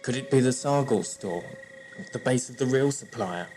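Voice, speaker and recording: Rebel villager, male actor: mid-pitched, earnest, worried, embittered, music underneath. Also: moderate pace, darkish tone, lightly acted. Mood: urgent, bitter. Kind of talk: audio drama acting.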